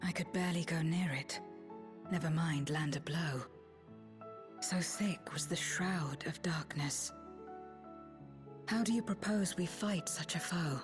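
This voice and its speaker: Young fighter girl voiced by a woman, determined, music pretty loud behind her. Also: lowish voice, measured pace, long pauses, natural voice.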